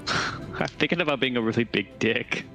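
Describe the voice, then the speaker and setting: A man's voice: medium pitch, a little slow. A man playing a tabletop roleplaying game, talking casually about his moves and the rules. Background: music.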